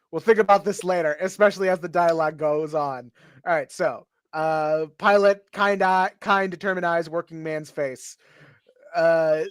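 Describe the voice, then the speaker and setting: A man's voice: on the high side, no echo, studio quality. A man hosting a casual stream who reads a screenplay aloud and jokes about it with friends. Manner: playful, chatty, laughs often. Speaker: podcast host. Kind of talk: reading a script aloud with commentary.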